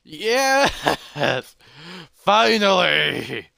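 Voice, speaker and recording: A man voicing an excitable, curious young adventurer who exclaims and muses to himself in a fantasy story. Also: medium pitch, very slow, no echo, studio quality, heavily acted. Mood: elated.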